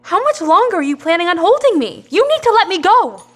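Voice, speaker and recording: A woman's voice: very high-pitched, sing-song, a little fast. A woman playing a frightened young woman held captive, who speaks in an urgent, pleading way, in an audio drama.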